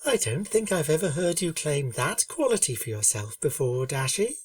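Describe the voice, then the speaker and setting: A woman voicing a gentle, shy young female pegasus pony in a storybook reading, speaking softly and earnestly. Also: sits low, very animated, moderate pace, no echo, studio quality, recorded from a distance. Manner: soft-spoken, earnest, kindly.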